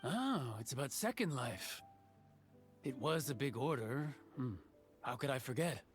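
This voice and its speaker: A man voicing a sociable, weary elderly carpenter in a video game, with a creaky aged voice and a folksy, hesitant way of talking. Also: mid-range voice, expressive, unhurried, lightly acted. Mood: weary, grumbling. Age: older. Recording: studio voice over game music.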